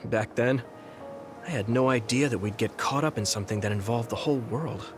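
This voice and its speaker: An actor playing an earnest, gentle, idealistic young fighter in a video game, with a slightly hesitant manner. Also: male voice, mid-range voice, fairly quick, over music, lightly acted. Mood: sheepish, reflective. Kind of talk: English video game voice acting.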